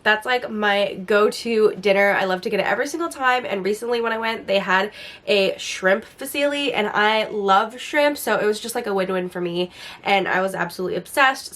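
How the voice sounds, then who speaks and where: Slightly raspy chatty woman vlogger. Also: on the high side, fairly bright tone. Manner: chatty, enthusiastic, frequent "like" fillers. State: recovering from a flu, a bit hoarse. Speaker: lifestyle vlogger. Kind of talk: casual Q&A vlog.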